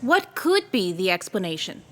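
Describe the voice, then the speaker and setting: An actress playing a brisk, inquisitive female news reporter in a radio drama, with a polished, perky broadcast delivery. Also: medium pitch, very animated, lightly acted. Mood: probing. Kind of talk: radio drama newscast acting.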